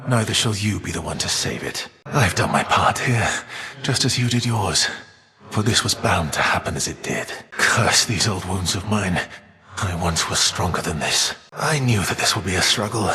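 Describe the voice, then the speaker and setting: A man playing a weary, defiant, all-knowing Titan in a video game, speaking in a measured, formal, archaic and foreboding way. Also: lowish voice, a bit bright, in a large hall. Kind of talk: theatrical video game character voice acting.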